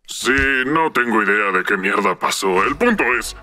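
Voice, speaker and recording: A man's voice: lowish voice, expressive, moderate pace, very noisy, close to an everyday voice. A man playing a weary, secretive soldier in a cartoon fan dub, blunt and jaded, with a Latin American Spanish accent. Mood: jaded, businesslike. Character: weary, secretive male soldier client in gas mask. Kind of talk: animated fan dub acting.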